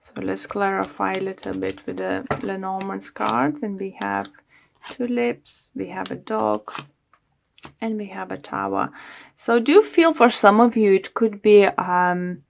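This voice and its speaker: A woman reads tarot cards for her viewers in a slow, easygoing chat, dotted with "you know" and "um". Her voice is strained and fraying from overuse, with a gravelly fry running under her words, and she takes her time. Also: mid-range voice, animated, background noise. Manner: unhurried, chatty, many "you know" and "um" fillers. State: losing her voice, coughing. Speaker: tarot reader. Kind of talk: casual tarot reading to viewers.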